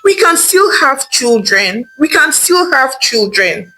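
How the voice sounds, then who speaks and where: Pleading young husband voiced by a woman, high voice, lively pitch. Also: slow pace, exaggerated voice, low-quality recording. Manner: pleading, repeats words. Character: anxious young husband pleading with his wife.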